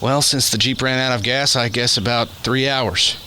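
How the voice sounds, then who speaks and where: A man playing a steady, reassuring scientist in a radio drama, measured and explanatory in his delivery. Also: mid-range voice, a little hiss or hum, close to an everyday voice. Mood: calm, easy.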